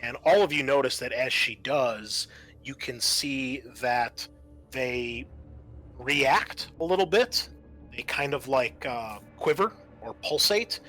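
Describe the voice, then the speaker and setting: A man working as game master, improvising halting, descriptive narration for a tabletop sci-fi horror game. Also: mid-range voice, sharp tone, soft background music.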